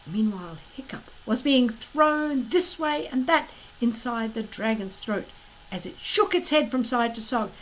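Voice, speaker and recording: A woman reading a children's story aloud as expressive narration, with dramatic emphasis and deliberate phrasing. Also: fairly high pitch, low-quality recording.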